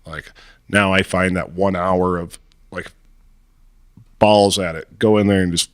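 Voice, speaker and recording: Guy in his 40s, low voice, informal podcast chat, pretty dry close sound. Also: fairly even intonation, unhurried, dry recording. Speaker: podcast guest. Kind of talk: casual conversational storytelling.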